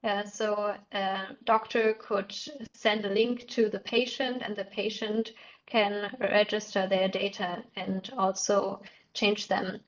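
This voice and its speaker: Female clinician in a conference Q&A, medium pitch, little inflection, hesitant delivery, dull recording. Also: level tone, slow. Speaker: clinician presenting. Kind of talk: conference question and answer.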